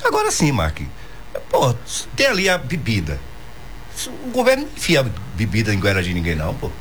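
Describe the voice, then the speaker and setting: A man co-hosts a casual radio talk show, blunt and opinionated, and often cuts in. His pitch swings widely as he argues. Faint noise hisses behind him. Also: wildly swooping pitch, a little fast, a bit bright. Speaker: radio co-host.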